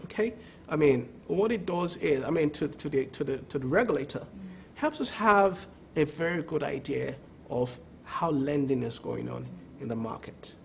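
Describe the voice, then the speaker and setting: A man with a fairly high voice answers a TV business interview as a credit bureau executive. He talks emphatically, stumbles and repeats words, and keeps checking with "okay?". Faint room ambience sits under his voice. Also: animated, moderate pace, gravelly, few pauses, slight background noise. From not from the country of the show.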